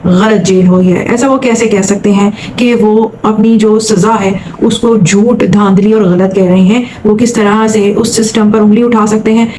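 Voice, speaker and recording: A woman's voice: fairly high pitch, dark tone, slight background noise, poor audio. A woman from Saudi Arabia hosting a vlog, giving commentary and retelling someone else's account. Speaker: vlog host.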